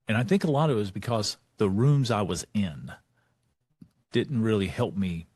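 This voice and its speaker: A man's voice: lowish voice, husky, no echo, clean studio sound. A man, telling a motivational story as a speaker and coach over an online call. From Texas. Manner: lively storyteller, animated, pausing to check notes.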